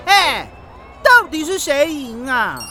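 Woman as a cocky, high-voiced, hot-tempered chef. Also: very animated, slow pace, sharp tone, faint music behind, full character voice. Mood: outraged. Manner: boastful, blustering, easily outraged. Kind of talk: children's radio drama acting.

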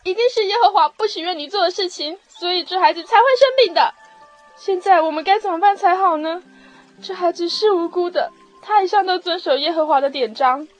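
Woman acting worried mother and queen, very high pitch, emotional, pleading, radio drama, background music. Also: a little fast. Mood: distraught. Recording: music behind the voice.

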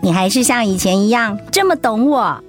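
A woman acting a tender, sincere middle-aged wife and friend in a radio drama, speaking softly and at a measured pace of thought. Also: a little high, lively intonation, lightly acted. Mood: fond. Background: background music.

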